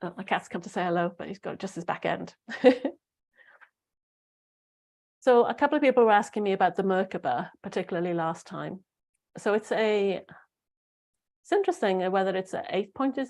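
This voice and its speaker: A middle-aged woman hosting a spiritual talk show, musing and rambling with fillers and restarts, her voice slightly croaky. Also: medium pitch, a little mellow. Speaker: spiritual podcast host. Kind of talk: casual solo talk to listeners.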